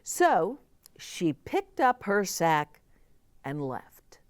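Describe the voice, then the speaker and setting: A woman's voice: on the low side, sing-song, drawn-out words, dry recording. A middle-aged woman reading a children's story aloud, expressively, with chatty asides explained simply as if to young children.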